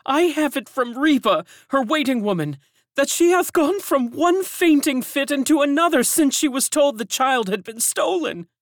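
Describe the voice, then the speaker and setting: A woman voicing a grieving elderly servant woman who gossips fretfully, in a high, lamenting tone. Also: expressive, moderate pace, dry recording, clean studio sound. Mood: gossiping. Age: older.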